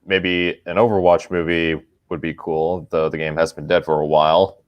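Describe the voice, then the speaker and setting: Man, lowish voice, halting and stuttery, dry close mic. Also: flat delivery, no room sound, muffled recording. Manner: hesitant, stutters on starts. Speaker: podcast co-host. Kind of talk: casual group chat.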